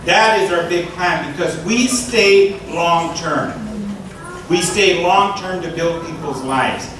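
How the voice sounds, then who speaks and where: Man, measured emphatic speech, repeated phrases, slightly high voice, far from mic, heavy echo. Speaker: relief charity representative. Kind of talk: speech to a concert audience. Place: large echoey hall. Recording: echoey hall, distant mic, noisy.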